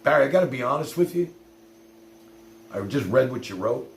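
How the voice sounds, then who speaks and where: A man's voice: mid-range voice, expressive, normal speed. A middle-aged man chatting casually on a livestream, telling personal stories in a blunt, rambling way with some swearing. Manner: blunt, rambling, peppered with swearing and "you know". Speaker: livestream host. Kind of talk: casual chat, personal storytelling to live viewers. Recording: roomy echo with faint hum and noise.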